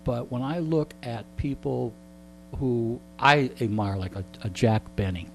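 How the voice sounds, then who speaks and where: A man's voice: mid-range voice, a little slow, gravelly, poor audio. An older man in his sixties chatting casually as a guest, rambling along with dry, joking asides. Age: older, 60s. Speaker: interview guest, local radio host. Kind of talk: casual chat. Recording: steady electrical mains hum.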